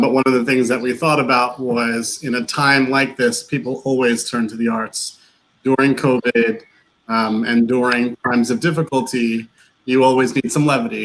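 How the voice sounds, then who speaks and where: A man's voice: mid-range voice, fairly bright tone, rough recording. Man, mid-range voice, courteous, earnest, considered remarks on a call. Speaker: actor in an online play reading.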